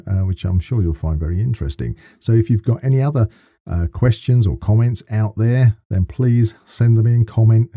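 A man with a fairly low and extremely mellow, dark voice hosts a podcast. He talks casually and thinks aloud, wordy in his phrasing. The sound is dry, with no room echo. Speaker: podcast co-host and interviewer. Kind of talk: casual podcast interview and chat.

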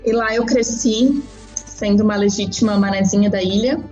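A woman in her thirties, from Argentina and raised in southern Brazil, talks in an easy, unhurried way as a podcast guest. She tells her story at a relaxed pace, with plenty of fillers and small restarts. The recording sounds a little dull and lo-fi. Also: mid-range voice, soft background music. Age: 30s. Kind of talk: casual interview chat.

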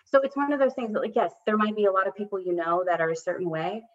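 A woman's voice: mid-range voice, quick pace. A woman coach speaking as a podcast guest, earnest and reflective, with many fillers and restarts. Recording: dull lo-fi recording.

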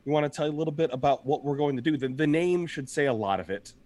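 A young man co-hosting a podcast, his voice a little raspy from shouting, talking in an upbeat, rambling way and laughing often. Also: fairly high pitch, fast. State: slightly hoarse from shouting. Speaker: podcast co-host. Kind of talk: casual podcast chat.

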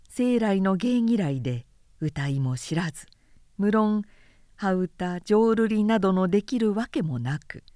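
Female literary narrator: measured storytelling, mid-pitched, unhurried delivery. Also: dark tone, no room sound, studio quality. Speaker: narrator reading a story aloud.

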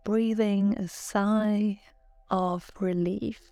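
Woman, meditation guide, mellow relaxed voice, soothing measured delivery, dry close sound, guided relaxation narration. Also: mid-range voice, dry recording. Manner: calm, soothing, measured instruction. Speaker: yoga nidra meditation guide. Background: background music.

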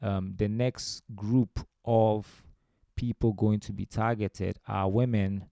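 Podcast guest: Ghanaian man, relaxed dark tone, lowish pitch, discursive storyteller. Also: slow, creaky, dry recording, studio quality. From Ghana, born in Romania. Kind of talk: conversational interview storytelling.

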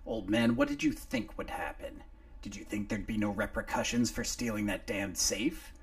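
A man voicing a small, scrappy male sidekick who wheedles and pleads in a high, squeaky voice. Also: wildly swooping pitch, moderate pace, gravelly, exaggerated voice. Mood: persuasive.

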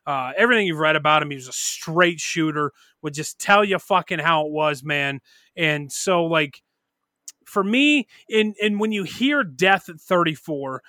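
Podcast co-host, early 30s man, bright forceful tone, dry close sound, rambling and profane. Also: a little high, no room sound. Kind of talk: casual podcast chat.

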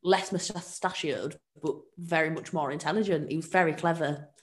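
A woman's voice: low voice, moderate pace. A woman co-hosting a casual podcast, telling a case from her notes in a joking, giggly way. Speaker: podcast co-host presenting a case. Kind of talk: casual true-crime podcast chat. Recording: roomy echo.

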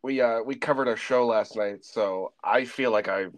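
A man hosting a casual podcast, hoarse and raspy from a head cold, rambling loosely with lots of fillers and casual swearing. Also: medium pitch, normal speed, no room sound. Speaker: podcast host. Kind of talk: casual off-the-cuff podcast chat.

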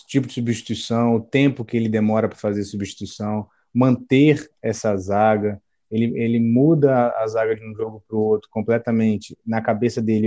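A man from Brazil co-hosting a casual football podcast, speaking in relaxed slang at a measured pace. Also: on the low side, dry recording. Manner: laid-back, slangy, measured. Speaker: podcast co-host.

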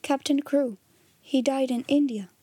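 A woman voicing a quiet, grave young orphan girl in a storybook. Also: sits high, fairly even intonation, measured pace, no echo. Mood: startled. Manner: plain, unrelenting, hesitant when startled. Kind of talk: storybook character reading.

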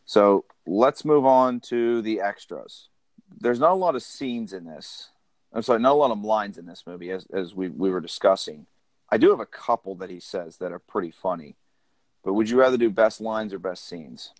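Man, podcast host, relaxed, lowish voice, dry close sound. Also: normal speed, dry recording. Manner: relaxed, steers the conversation. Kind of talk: casual podcast chat.

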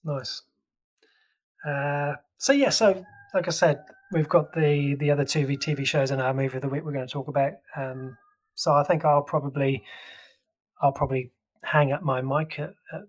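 Guy co-hosting a tv review podcast, kinda high voice, lots of ums and restarts. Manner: chatty, full of fillers and restarts. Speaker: podcast co-host. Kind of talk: casual review chat.

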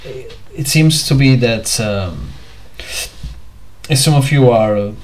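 A man's voice: medium pitch, takes it slowly, a little mellow. Hesitant man. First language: Portuguese. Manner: hesitant, many fillers and restarts. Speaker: podcast host. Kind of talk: rambling solo vlog. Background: faint music.

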